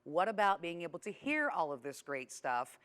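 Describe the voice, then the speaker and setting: A woman with a low voice co-hosts a car show. She walks through product features in a chatty, informative way, like someone explaining things to a colleague and viewers at once. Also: very animated, moderate pace, fairly bright tone, a little hiss or hum. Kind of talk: product showcase presenting.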